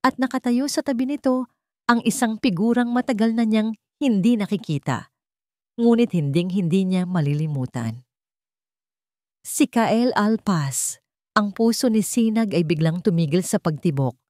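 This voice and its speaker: A woman's voice: mid-range voice, animated, moderate pace, a little mellow, no echo, clean studio sound. A woman reading a scripted romance story in a dramatic, sentimental storytelling manner. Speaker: story narrator.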